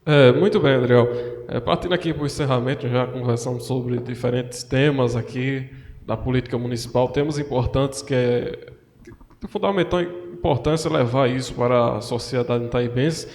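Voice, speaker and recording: A man's voice: medium pitch, normal speed, darkish tone. A man hosting a podcast in a formal, courteous manner, thanking his guest and closing with a blessing. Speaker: podcast host. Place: council building room. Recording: echoey room with long hall reverb.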